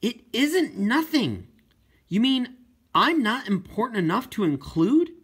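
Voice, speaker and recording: A man's voice: extremely high pitch, sing-song, unhurried, fairly bright tone, breathy edge, voice pushed up, full character voice. A man voicing an earnest deaf girl in an audiobook, pleading and stubborn, with emphatic, impassioned protests. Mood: hurt, indignant.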